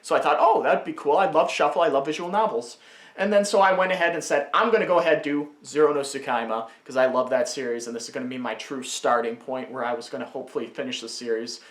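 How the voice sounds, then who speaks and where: A man's voice: mid-range voice, quick pace, fairly bright tone. Chatty man in an echoey room. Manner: rambling, chatty, self-interrupting. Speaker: video creator giving commentary. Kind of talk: casual, unscripted commentary on own series.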